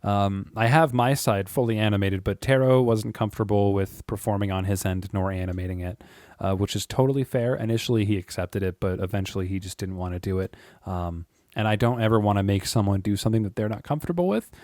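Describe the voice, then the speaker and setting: A man with a deep voice, chatting casually and rambling to his viewers, with frequent chuckles and filler words. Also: brisk, darkish tone, dry recording, studio quality. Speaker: YouTube creator. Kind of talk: casual monologue to camera.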